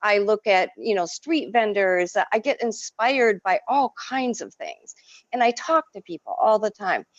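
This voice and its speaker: A woman whose first language is French, an artist telling stories in an effusive, expressive way as an interview guest. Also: mid-range voice, measured pace, no echo, lo-fi sound. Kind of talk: casual interview.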